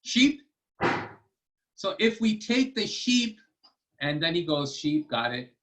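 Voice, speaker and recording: A man's voice: on the high side, very animated, takes it slowly, fairly bright tone. A man hosting a children's storytime and trivia over a video call, explaining step by step in a patient, encouraging way. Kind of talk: casual teaching to children.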